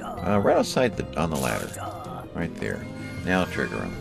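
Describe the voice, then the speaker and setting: A man's voice: a bass voice, wildly swooping pitch, moderate pace, gravelly, over music. A man hosting a let's play, casually coaching his partner through tactics. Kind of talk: casual gameplay commentary. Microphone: desktop USB mic.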